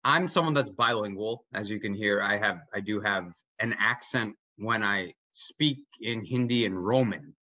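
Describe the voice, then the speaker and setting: A man's voice: lowish voice, moderate pace, few pauses, pushed and bright, no room sound. A young man in his twenties, an actor, asking an eager, winding question over a video call from a home kitchen. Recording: dull, dry video-call audio.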